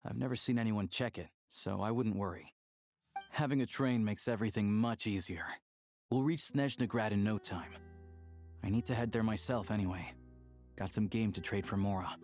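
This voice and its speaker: A man's voice: fairly low pitch, normal speed, a little mellow, faint music behind, lightly acted. An actor playing an easygoing, worldly young man in a video game, talking in a conversational, confiding way. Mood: reassuring. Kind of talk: video game dialogue acting.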